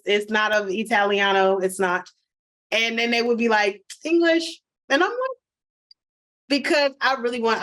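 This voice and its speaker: A middle-aged woman, co-hosting a podcast and telling a travel story in casual chat, animated and emphatic, speaking straight to listeners. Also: medium pitch. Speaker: podcast co-host.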